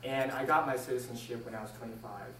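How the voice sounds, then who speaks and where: A young man originally from Taiwan gives a prepared personal speech in a deliberate, measured way with pauses. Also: medium pitch, a bit bright. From born in Taiwan, moved to the United States at six. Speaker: speech club member giving a speech. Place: indoor room, recorded from a distance. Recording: roomy, distant mic with faint noise.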